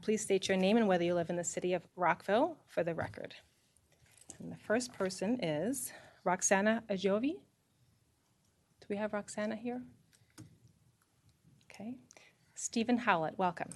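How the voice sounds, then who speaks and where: A woman's voice: mid-range voice, moderate pace, long pauses, a little mellow. A woman mayor chairing a city council meeting, calling speakers and reading procedural rules politely.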